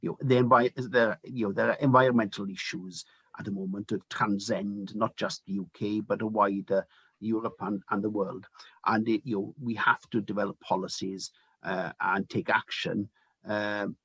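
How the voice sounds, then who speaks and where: A man from Wales answers as a politician guest, picking his words slowly with plenty of pauses and fillers. His voice is low and husky. The sound is dry and close, with no room behind it. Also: fairly even intonation, a bit bright, no echo. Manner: hesitant, many fillers. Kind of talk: interview answers.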